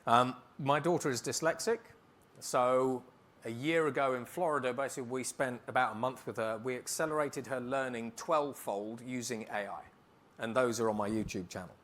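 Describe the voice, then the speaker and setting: A man speaking as a keynote speaker, answering on stage in a conference hall in a brisk, emphatic way and rattling off lists. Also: medium pitch, fairly bright tone.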